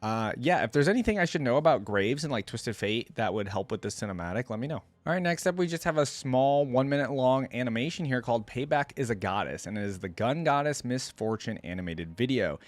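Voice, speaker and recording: A man's voice: medium pitch, expressive, fairly quick, hardly any pauses, no echo. Enthusiastic man, casual reactions. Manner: enthusiastic, chatty. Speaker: reaction video host.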